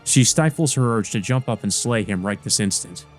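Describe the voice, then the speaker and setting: A man's voice: lowish voice, lively intonation, normal speed. A man doing a let's play, reading visual novel narration aloud and adding casual commentary of his own. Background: game music.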